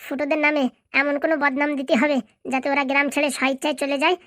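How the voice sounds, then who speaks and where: A woman performs a domineering cartoon boss lady with a very high voice. She scolds and commands in quick bursts, threatening whoever answers to her. The sound is close and dry. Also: little pitch movement, a bit bright, no echo. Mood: stern, threatening. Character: bossy, threatening female boss in a comedy cartoon. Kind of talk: Bengali comedy cartoon dialogue.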